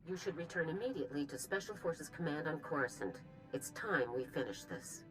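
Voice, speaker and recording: An actress playing a businesslike military general in a video game, clipped and authoritative. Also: female voice, low-pitched, expressive, brisk, over music, low-quality recording. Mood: brisk, official.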